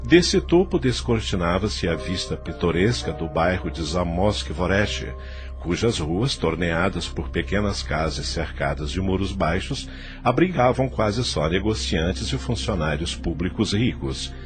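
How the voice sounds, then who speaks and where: A man's voice: low-pitched, expressive, unhurried. A man with a Brazilian accent reading scripted literary narration for an audio novel. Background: music.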